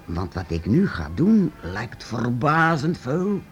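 An actor playing a secretive, eccentric master painter-inventor in a radio play, hesitant and halting, then urgent. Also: male voice, medium pitch, big pitch swings, highs cut off, faint music behind. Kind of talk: radio drama acting.

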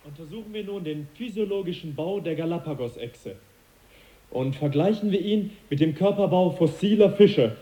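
An actor playing an earnest, middle-aged male naturalist in a German radio play, measured and educated. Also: a little high, animated, mellow, a little hiss or hum, close to an everyday voice. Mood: lecturing. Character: earnest, conscientious male naturalist and lecturer, troubled.

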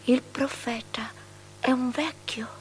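Languid high-voiced young princess. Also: female voice, fairly even intonation, slight background noise, poor audio. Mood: hushed curiosity. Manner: languid, hushed, drawn-out delivery. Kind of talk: stylized theatrical radio drama.

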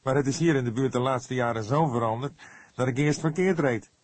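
A chatty, weary police photographer from a crime audiobook, acted by a man reading aloud. The voice sits well above the reader's own, loud and exclaiming. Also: mid-range voice, a little fast, dry recording, voice pushed up, close to an everyday voice. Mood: amused chatter.

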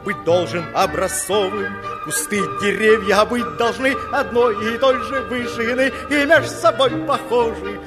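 A comic, good-natured storybook gardener. He delivers rhymed verse in a very high sing-song voice over music. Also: unhurried, hardly any pauses. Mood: jaunty. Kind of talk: musical fairy-tale audio play.

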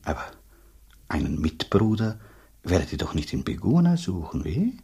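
An actor in a radio play voicing a blunt, talkative rural dean, sceptical and condescending, speaking in a husky, halting, emphatic way. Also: male voice, sits low, wildly swooping pitch, a little mellow, heavily acted. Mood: puzzled, probing. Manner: halting, emphatic, repeats himself. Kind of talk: German radio drama acting.

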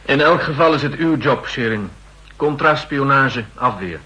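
An actor playing a blunt, commanding army general who speaks Netherlands Dutch in a 1960s radio drama. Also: male voice, medium pitch, expressive, measured pace, sharp tone, low-quality recording. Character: forceful, straight-thinking male army general questioning subordinates.

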